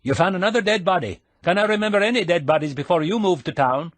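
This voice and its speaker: A man's voice: sits high, expressive, quick pace, no echo, voice pushed up. A man voicing a chatty, gossipy male shopkeeper with a put-on Scottish brogue. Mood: teasing. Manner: teasing, gossipy, rolling brogue.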